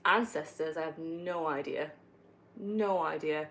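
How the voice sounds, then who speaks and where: Chatty northeastern English woman, low voice. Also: a little slow, fairly bright tone, a little hiss or hum. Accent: northeast English, softened. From northeast England. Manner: chatty, rambling, many fillers and self-corrections. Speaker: livestreamer answering viewer questions. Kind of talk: casual live-stream chat while gaming.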